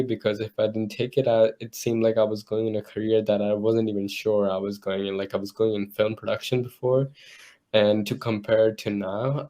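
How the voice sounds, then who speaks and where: Mellow, even-toned young man. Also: on the low side. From India. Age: young adult. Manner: relaxed, laughs easily, loose phrasing. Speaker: panel guest, student. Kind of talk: casual chat.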